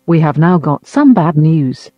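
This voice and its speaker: A man reading a scripted emergency broadcast announcement in a formal, measured manner. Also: fairly high pitch, animated, no echo. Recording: dull lo-fi audio.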